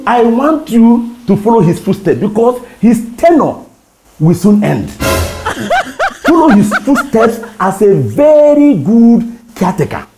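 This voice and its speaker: A woman's voice: fairly high pitch, big pitch swings, measured pace, music in the background. A woman with a Nigerian accent playing a gleeful landlord who teases tenants with effusive praise in a comedy drama. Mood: gleeful, teasing.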